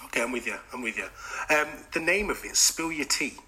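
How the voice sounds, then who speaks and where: A male radio host interviewing a guest in a studio, casual and teasing with quick jokes. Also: medium pitch, expressive, sharp tone, poor audio. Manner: jokey, teasing.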